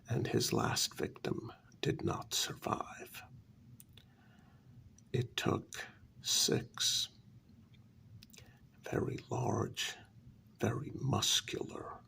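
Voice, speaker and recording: A man playing a grave, troubled asylum staffer in a soft-spoken ASMR role-play, telling a dark story in slow, halting phrases with a breathy, gravelly half-whisper. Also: medium pitch, heavily acted. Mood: grave, hushed. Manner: slow, halting, deliberate short phrases with long pauses.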